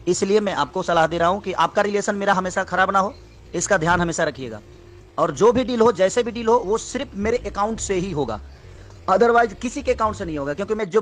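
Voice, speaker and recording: A man's voice: high-pitched, fairly bright tone. A man talking business in a firm, insistent way, repeating his points with emphasis. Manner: repetitive, emphatic. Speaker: singer on a recorded business call.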